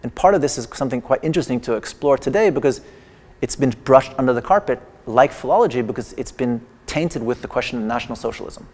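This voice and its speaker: A man's voice: mid-range voice, expressive, fast talker, darkish tone. A man whose first language is Persian gives a wry, digressive lecture performance in a large museum exhibition hall. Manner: wry, digressive, with playful humor. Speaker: artist giving a lecture performance. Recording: long echoing hall reverb.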